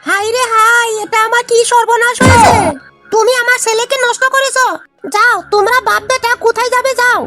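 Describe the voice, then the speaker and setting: A woman's voice: very high voice, steady tone, brisk, sharp tone, soft background music. A woman voicing an indignant cartoon mother and nagging wife who scolds and laments. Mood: furious lamenting. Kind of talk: amateur comedy cartoon dialogue.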